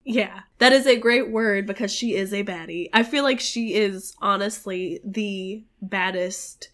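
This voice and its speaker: A woman's voice: medium pitch, measured pace, fairly bright tone. A woman co-hosting a podcast in casual chat, her voice nasal and congested from a cold, frank and playful. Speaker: podcast co-host. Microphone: home recording mic.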